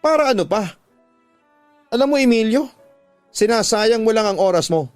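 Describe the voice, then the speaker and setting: A man's voice: high voice, expressive, moderate pace, smooth, dry recording, faint music behind, voice pushed up. A man acting a bitter, heartbroken jilted lover. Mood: bitter, emphatic. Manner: emphatic, reproachful. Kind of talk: Filipino radio drama acting.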